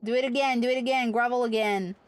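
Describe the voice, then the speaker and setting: A woman's voice: a little high, steady tone, quick pace, no echo, rough recording. A woman livestreaming an online game with casual, playful, salty commentary, muttering to herself as she plays. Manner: playful, foul-mouthed mock threats and muttering to self.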